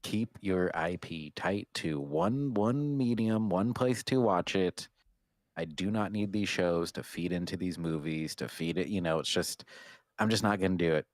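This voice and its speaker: A man's voice: low-pitched, a little slow, no echo. A man co-hosting a podcast, chatting in an informal, conversational way. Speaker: podcast co-host. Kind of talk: casual chat.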